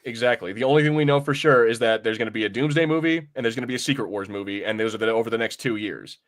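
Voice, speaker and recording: A man co-hosting a casual film review podcast, rambling like an excited fan and swearing freely. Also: mid-range voice, racing. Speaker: podcast co-host.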